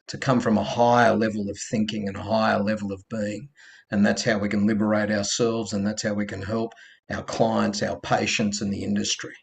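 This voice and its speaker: A man co-hosting a conversation, speaking in a calm, measured and earnest way. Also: lowish voice, vocal fry, a little hiss or hum. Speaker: co-host of a live conversation show. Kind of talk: reflective conversational talk.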